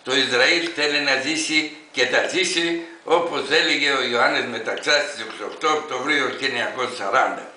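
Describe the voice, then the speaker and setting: A man in his eighties from Greece reading an open letter aloud in an emphatic, declamatory way, with deliberate phrasing. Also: mid-range voice, pushed and bright, echoey room, slight background noise, low-quality recording. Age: 80s.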